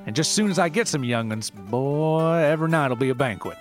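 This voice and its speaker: A man voicing an aggrieved, sentimental rustic ginseng forager with an Appalachian accent and halting, folksy speech, in a narrated story. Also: fairly high pitch, lively intonation, faint music behind, voice pushed up, close to an everyday voice. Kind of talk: mock reality-show character in a narrated story.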